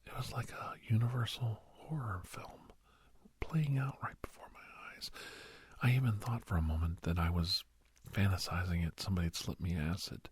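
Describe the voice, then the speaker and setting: A man playing a middle-aged doctor who reminisces earnestly in a soft, half-whispered ASMR roleplay, breathy and halting, close to the microphone. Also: a little hiss or hum, natural voice. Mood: grave, haunted. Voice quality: breathy, husky, low. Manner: halting, hushed, dramatic pauses. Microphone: close, dry microphone.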